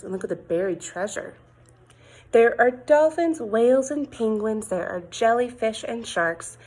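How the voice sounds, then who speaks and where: A woman with a slightly husky, mid-pitched voice reads a picture book to young children. She sounds like a teacher, expressive and sing-song, coaxing her listeners with questions and exclaiming over the pictures.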